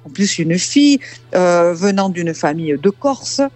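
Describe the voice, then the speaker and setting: A woman's voice: on the low side, animated, a little slow, darkish tone. A woman in her fifties from the south of France, a singer being interviewed, hesitant and repeating her words. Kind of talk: casual interview.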